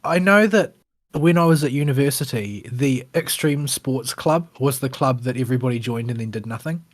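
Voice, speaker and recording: A man's voice: mid-range voice, normal speed. A man in his thirties with a New Zealand accent and a slight lisp, co-hosting a casual gaming podcast with wry, quizzical asides. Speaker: podcast co-host. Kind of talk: casual chat.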